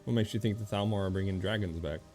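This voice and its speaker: A man with a fairly deep voice comments casually as he plays. His delivery is understated and offhand, sometimes reading dialogue aloud, with clear game music in the background. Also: fast, darkish tone. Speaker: game streamer.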